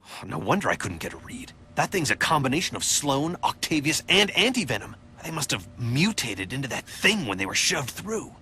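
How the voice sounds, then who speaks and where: A man voicing a quick-witted, determined, sarcastic young superhero in a video game, wry and often thinking out loud. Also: medium pitch, very animated. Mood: focused, analytical. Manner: wry, talks to himself while working out problems. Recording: loud music and effects behind voice. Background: loud game music.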